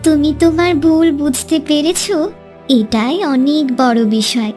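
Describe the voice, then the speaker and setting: The kindly little hen bird in a children's cartoon, piping very high and earnest from her nest over soft music, acted by a woman. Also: animated, measured pace. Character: small kind, caring female bird, dutiful wife. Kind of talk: scripted cartoon dialogue.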